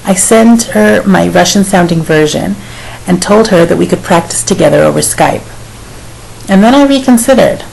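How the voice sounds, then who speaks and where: Lady speech coach reading her script, bit of fry in the voice, faint hiss on the track. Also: mid-range voice, darkish tone, rough recording. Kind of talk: scripted narration.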